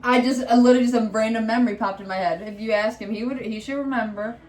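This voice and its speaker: A woman's voice: fairly high pitch, steady tone, fast, darkish tone. A young woman from Michigan co-hosting a casual vlog chat, rambling with lots of fillers and frequent giggles. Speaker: vlog co-host. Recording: echoey room with faint background noise.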